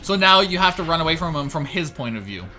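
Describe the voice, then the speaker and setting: Lively male gamer over game music. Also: on the high side, quick pace. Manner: reacts with short exclamations. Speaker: gaming show co-host and player. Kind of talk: casual gameplay commentary.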